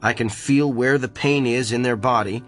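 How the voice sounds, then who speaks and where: A confident, faintly smug doctor, played by a man, speaks at a medium pitch in a radio drama. He is wry and matter-of-fact, with faint music behind him. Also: steady tone, normal speed, natural voice. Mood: smug, assured. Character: confident, smug male doctor with a miraculous gift.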